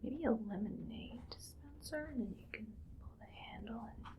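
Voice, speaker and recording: A woman playing a bossy, musing female mad scientist in a whispered ASMR roleplay, speaking in a breathy, clinical, quietly menacing way. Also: a little high, natural voice. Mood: pondering, detached. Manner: slow musing, clinical, domineering. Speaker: ASMR performer.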